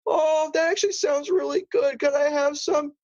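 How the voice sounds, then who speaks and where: Small cartoon crab, very high voice, hesitant, naive yet scheming, begging and rambling through improvised comedy. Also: male voice, fairly even intonation, moderate pace, highs cut off. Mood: casual, eager. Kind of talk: improvised comedy role-play.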